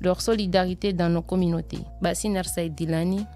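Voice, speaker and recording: A young woman student giving a shy, halting presentation for a school podcast. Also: fairly low pitch, fairly even intonation, mellow, soft background music.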